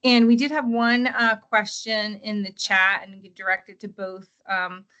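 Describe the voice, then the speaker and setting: A woman's voice: mid-range voice, flat delivery, moderate pace, pushed and bright. A woman moderating a webinar over a video call, speaking politely with a few fillers. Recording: dull lo-fi audio.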